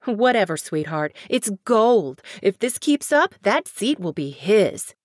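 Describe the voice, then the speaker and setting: A woman plays a pushy female campaign manager who speaks loudly and in a gushing way. Her tone is brash and dismissive. The recording is dry and close. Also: medium pitch, very animated, slow pace, no echo.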